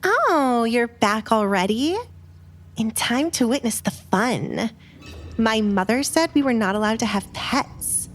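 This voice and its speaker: A woman's voice: a little high, lively intonation, slow, voice pushed up. A woman voicing a spoiled, spiteful young woman with a haughty, whining manner in an audio drama. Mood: smug, taunting.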